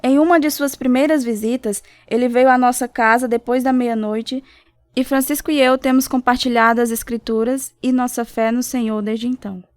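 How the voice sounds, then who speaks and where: Actress playing earnest caring churchgoing woman, highish pitch, dry recording, radio drama. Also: fairly even intonation, moderate pace, dry recording, close to an everyday voice. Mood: compassionate. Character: devout, caring married woman concerned for a lost soul.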